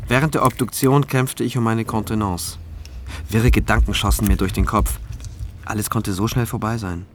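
An actor playing a thoughtful, conscientious male prosecutor in a radio drama, with a measured, introspective manner. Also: lowish voice, steady tone, slight background noise, close to an everyday voice. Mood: pensive, sober. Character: pensive, conscientious male public prosecutor narrating his investigation. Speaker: lead actor and first-person narrator. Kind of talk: radio drama narration and dialogue.

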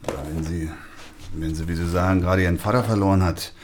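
A man's voice: sits low, fairly even intonation, quick pace, background noise, natural voice. A man playing a matter-of-fact, slightly dismissive emergency doctor in an audio drama, casual and a bit patronizing. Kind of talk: naturalistic audio drama dialogue.

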